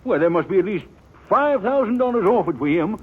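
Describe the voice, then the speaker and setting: An American man playing an excitable frontier bank owner in a 1950s radio western, with a folksy, stammering, blustery drawl. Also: high voice, a little fast, breathy edge. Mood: exasperated, eager. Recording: muffled, noisy 1950s radio recording.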